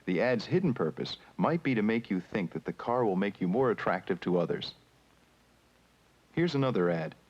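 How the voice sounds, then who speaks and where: A man's voice: fairly low pitch, sing-song, normal speed, darkish tone. Male instructional narrator, muffled old film sound. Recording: muffled 1970s educational film soundtrack with music.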